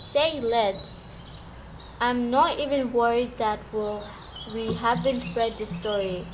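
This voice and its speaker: Eager storybook heroine, acted by a female performer, highish voice, school radio play, clear birdsong and ambient noise. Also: steady tone, slow pace. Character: Fatima, eager curious girl lost in storybook. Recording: noisy, birdsong and ambience behind.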